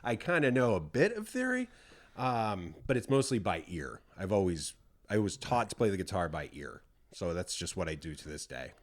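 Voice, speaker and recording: Easygoing low-voiced male musician chatting. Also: very animated, normal speed. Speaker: podcast guest, musician. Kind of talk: casual chat.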